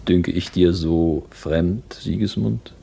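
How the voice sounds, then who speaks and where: A lofty, commanding master in a classic radio drama. His very low, husky voice has a gravelly grain, and he declaims with solemn, measured gravity. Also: mellow, full character voice. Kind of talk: classic German radio play acting.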